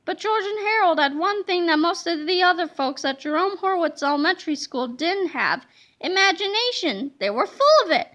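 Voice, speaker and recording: A woman reading a children's book aloud in an expressive, dramatic storytelling manner. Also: extremely high pitch. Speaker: storybook reader.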